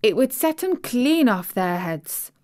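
A chatty Yorkshire servant girl full of warm excitement, put on by a woman reading aloud. She rattles on in broad dialect, lively and friendly, her voice lifted higher than a plain reading voice. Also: slow. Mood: delighted.

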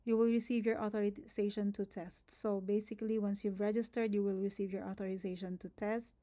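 A woman's voice: medium pitch, level tone, brisk, mellow. A young woman with a Filipino accent, whose first language is Tagalog, explaining an application step by step in a screen-recorded tutorial, often restarting words and checking in with "okay?". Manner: repeats herself, frequent restarts and "okay?" checks. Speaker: nurse giving an application walkthrough.

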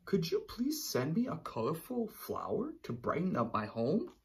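A man voicing a friendly, wistful polar bear from a children's storybook, expressively and with drawn-out words. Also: a little high, wildly swooping pitch, vocal fry, pitched above the performer's own voice, full character voice. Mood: pleading, fed up.

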